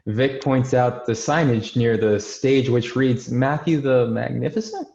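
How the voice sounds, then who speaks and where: A man's voice: medium pitch, animated, a little slow, vocal fry, a little mellow. A man narrating a screenplay's stage directions in a scripted table-read style. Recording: roomy, dull remote call audio.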